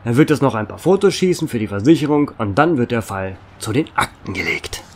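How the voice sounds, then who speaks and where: A man's voice: medium pitch, animated, brisk, close to an everyday voice. A man playing a weary, authoritative small-town sheriff with a dry sarcasm, in an amateur audio drama. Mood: brisk, dismissive. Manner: authoritative, sardonic.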